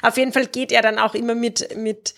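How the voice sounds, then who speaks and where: A woman's voice: on the high side, flat delivery, brisk, gravelly, fairly bright tone. A woman discussing books as a host, explaining things in a reflective, informal and conversational way.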